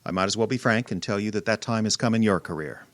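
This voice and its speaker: A man's voice: fairly low pitch, fast, no echo, lightly acted. A man acting a callous, impatient newspaper publisher in an old-time radio drama, brusque and imperious. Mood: cold, curt. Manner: brusque, imperious, barking orders.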